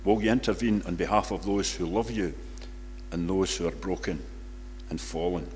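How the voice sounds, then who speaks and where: An older man reads a public prayer in solemn, measured phrases in a church. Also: sits low, a little mellow. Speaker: congregation member leading prayers. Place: large church, at a lectern. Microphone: lectern microphone. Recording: reverberant church hall, faint background noise.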